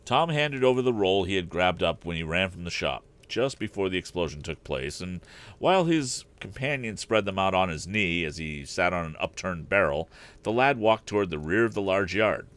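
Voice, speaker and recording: A man's voice: deep voice, animated, moderate pace, a bit bright. A man reading a storybook aloud as host, narrating expressively.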